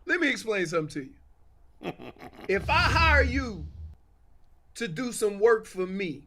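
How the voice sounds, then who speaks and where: Male sports analyst, very high excitable pitch, bright forceful tone, colloquial and emphatic. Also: unhurried, slight background noise. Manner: emphatic, colloquial, repeats phrases. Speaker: guest sports analyst on a show. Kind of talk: animated sports talk.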